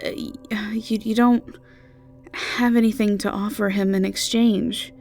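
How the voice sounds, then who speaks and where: Lady doing an awkward nice innkeeper gal, stumbles over words, easily flustered, fantasy roleplay audio, slow-ish. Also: medium pitch, flat delivery, darkish tone, close to an everyday voice. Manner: stammering, flustered.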